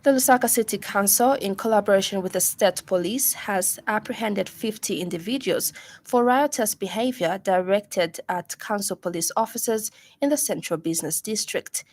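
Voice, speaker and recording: A woman's voice: mid-range voice, normal speed, darkish tone. Woman reading radio news. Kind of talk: formal news bulletin reading.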